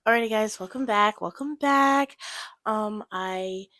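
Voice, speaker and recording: A woman's voice: medium pitch, a little slow, pushed and bright, no echo, studio quality. A teenage girl hosting her own podcast, talking in an earnest, encouraging, rambling way with a slightly stuffy nose and a bit of a sore throat. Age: teens. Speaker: podcast host. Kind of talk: solo casual podcast talk.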